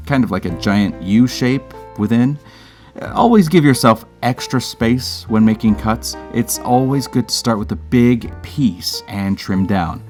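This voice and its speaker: A man hosting a DIY show, narrating a scripted tutorial in an upbeat, playful way with chatty asides. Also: lowish voice. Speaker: host of a DIY creator show.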